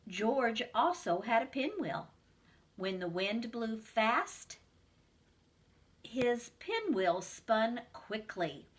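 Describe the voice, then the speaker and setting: A woman, a preschool teacher, reading a picture book aloud to children in a slow, expressive storytelling voice. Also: fairly high pitch.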